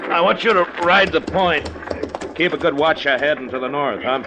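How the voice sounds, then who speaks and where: A man playing a commanding frontier marshal who is gentle with women, in an old radio western. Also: on the high side, normal speed, muffled recording, lightly acted. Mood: calm, commanding. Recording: noisy old radio recording with clicks.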